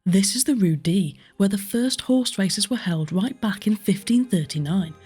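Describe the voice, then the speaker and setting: A woman's voice: mid-range voice, expressive, moderate pace, dark tone, husky, soft background music. A woman presenting a history tour in scripted narration.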